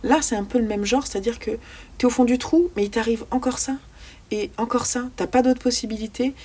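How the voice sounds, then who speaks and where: A woman's voice: on the high side, a little fast, dark tone, husky. A woman vlogging casually about the books she has read, talking freely and often searching for her words with fillers and restarts. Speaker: book vlogger. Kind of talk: casual book review chat.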